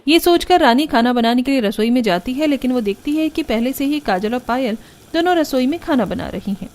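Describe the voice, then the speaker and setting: A woman with a very high voice narrates a cartoon family story. Her delivery is scripted storytelling, moving through the tale as its narrator. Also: animated, fairly quick, a little mellow.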